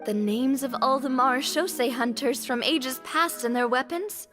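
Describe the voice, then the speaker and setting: A confident young adventurer in a video game. Her high voice is bubbly, proud and playful. There is faint music behind her. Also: normal speed, fairly bright tone.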